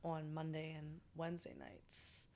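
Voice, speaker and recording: A woman hosting a podcast with a raspy voice, chatty and encouraging, asking quick follow-up questions. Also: sits low, monotone, darkish tone. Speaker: podcast host. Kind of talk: casual podcast interview.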